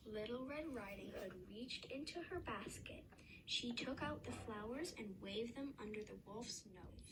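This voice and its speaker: Woman reading a fairy tale, noisy recording. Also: on the high side. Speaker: children's story reader. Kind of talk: read-aloud fairy tale narration.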